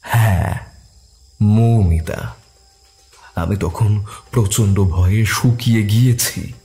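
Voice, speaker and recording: A man narrating a detective story in a steady, expressive way. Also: on the low side, takes it slowly, soft background music.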